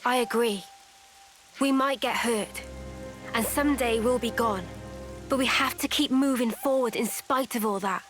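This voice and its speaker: A woman's voice: on the high side, unhurried, long pauses, fairly bright tone, soft background music. An actress voicing a plain-spoken, earnest young female fighter in a video game, with a casual, blunt way of talking. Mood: earnest, resolute. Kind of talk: scripted video game cutscene dialogue.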